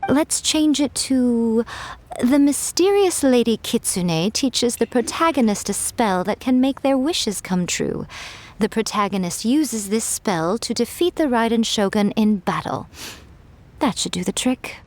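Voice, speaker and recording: A woman playing a poised, playful fox shrine maiden in a video game, smooth, composed and teasing in manner. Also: a little high, expressive, measured pace, darkish tone. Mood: composed, approving.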